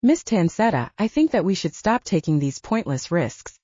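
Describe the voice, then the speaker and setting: Woman voicing a soft, brooding, formal male lover. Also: sing-song, moderate pace, husky, dry recording, dull audio. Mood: grave, restrained. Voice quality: soft, low. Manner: formal, grave, controlled. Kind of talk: audiobook character dialogue.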